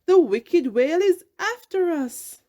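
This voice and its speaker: An irritable male fish acted out by a woman from a children's storybook. The voice is lifted high, suspicious and accusing, always urgent about danger. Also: lively intonation, takes it slowly, mellow, heavily acted. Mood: alarmed.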